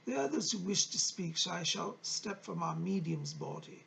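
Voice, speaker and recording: A woman voicing a solemn, wise male spirit teacher, giving formal, measured and earnest spiritual guidance as if channelled. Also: lowish voice, animated, gravelly, fairly bright tone, heavily acted.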